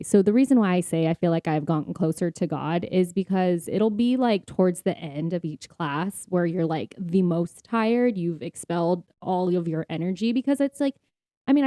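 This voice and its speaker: A woman's voice: on the low side, mellow, no echo, studio quality. A woman co-hosting a podcast, chatting casually and personally about faith, often saying "like". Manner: conversational, frequent "like". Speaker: podcast co-host.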